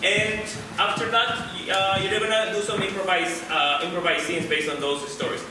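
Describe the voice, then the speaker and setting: A man from Peru, hosting a live improv show and chatting with the audience in a halting way, full of fillers. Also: sits high, flat delivery, over music. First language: Spanish. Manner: halting, many restarts and fillers. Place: small theater, live audience. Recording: echoey theater, mic from a distance.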